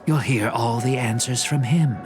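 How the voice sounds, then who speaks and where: An actor playing a weary, self-deprecating dead film director in a video game, with resigned and dramatic phrasing. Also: male voice, mid-range voice, normal speed, close to an everyday voice. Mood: resigned, courteous. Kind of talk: video game character dialogue. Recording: game dialogue over clear background music.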